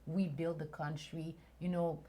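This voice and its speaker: A woman's voice: deep voice, little pitch movement, a little slow, dark tone. A woman from Haiti in her thirties, a guest attorney talking through her story with frequent fillers and restarts. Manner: thoughtful, many fillers and restarts. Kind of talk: interview conversation.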